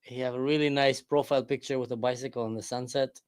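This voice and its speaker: A man's voice: medium pitch, fairly even intonation, fast, clear, resonant tone, no room sound, clean studio sound. A man in his forties from Israel, chatting with strangers on a livestream and asking lots of questions. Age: 40s. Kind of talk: casual video chat. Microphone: desk camera mic.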